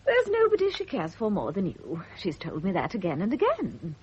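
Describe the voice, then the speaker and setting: A woman's voice: medium pitch, huge pitch swings, moderate pace, darkish tone, slight background noise. A woman acting in a radio drama as a middle-aged private nurse, gossipy and self-satisfied, with a knowing, condescending way of insinuating things. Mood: smug, patronising. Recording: dull, muffled vintage radio recording.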